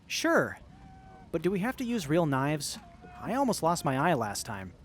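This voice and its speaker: A man's voice: fairly high pitch, huge pitch swings, normal speed, a little hiss or hum. A man playing an earnest, cautious young nerd in an audio drama, pedantic and a little hesitant. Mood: nervous.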